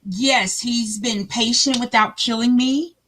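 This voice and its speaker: A woman with a big, loud voice, a guest talking about her faith in a lively way and acting out her own conversations. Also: a little high, a little slow, a little hiss or hum. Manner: animated, plays out her own dialogue. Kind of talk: faith testimony chat.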